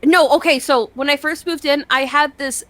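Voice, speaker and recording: A young woman chatting casually, telling stories in a rambling, sweary, excitable way and acting out the dialogue. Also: sits high, moderate pace, dry recording. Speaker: online show host. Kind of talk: casual chat, animated storytelling.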